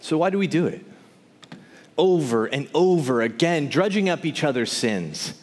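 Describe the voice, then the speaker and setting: A man's voice: fairly high pitch, big pitch swings, normal speed. A man performing theatrical spoken word poetry to a live audience, with an impassioned, emphatic, rhythmic rhyming delivery. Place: large hall, live before an audience. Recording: reverberant hall, live stage performance.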